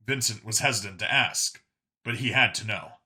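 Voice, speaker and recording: A man's voice: fairly low pitch, moderate pace, bright, forceful tone. A man reading a story aloud as a dramatic scripted narration. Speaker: audiobook narrator.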